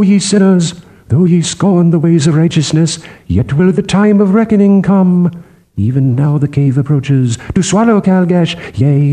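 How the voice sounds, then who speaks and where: A man's voice: a little high, mellow. A man voicing a fervent apostle who declaims doomsday scripture in archaic, sing-song tones for a storybook.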